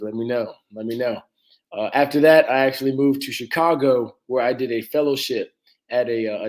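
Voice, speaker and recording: A young man from Atlanta talks as a dentist guest on an interview show. His voice sits at an ordinary middle pitch, and he speaks casually and easily, with plenty of "uh"s and quick laughs. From Atlanta, Georgia. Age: 20s. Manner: relaxed, laughs easily, frequent "uh" and "you know".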